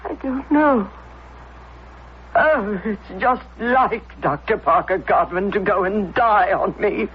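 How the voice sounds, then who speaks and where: A woman's voice: mid-range voice, takes it slowly, lightly acted. An actress playing a formidable, proper, elderly upper-class mother with haughty, formal phrasing in a period radio drama. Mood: weary, flustered. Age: older. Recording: muffled, noisy old radio recording.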